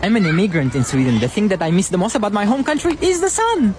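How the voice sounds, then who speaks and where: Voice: mid-range voice, sing-song, fast, darkish tone. A speaker narrating a wry first-person skit about life as an immigrant, repeating a refrain.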